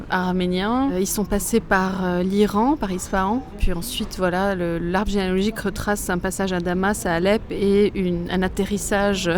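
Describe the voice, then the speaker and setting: A woman's voice: medium pitch, a little mellow. Thoughtful Lebanese-born woman, noisy street. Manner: thoughtful, explanatory, searching for words. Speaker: guest, comics author. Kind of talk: interview answers. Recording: noisy outdoor street ambience. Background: street ambience and passing vehicles.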